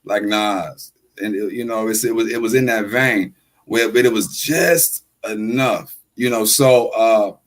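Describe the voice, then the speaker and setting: A man's voice: on the low side, a little slow. A man in his forties, a rapper appearing as a podcast guest, chatting casually and rambling with lots of fillers and "right?" questions. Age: 40s. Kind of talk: casual chat.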